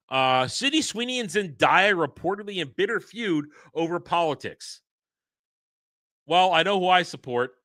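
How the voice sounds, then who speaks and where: Excitable rambling male streamer. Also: fairly high pitch, dry recording, clean studio sound. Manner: rambling, excitable, restarts often. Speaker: livestream host. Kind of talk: casual livestream chat.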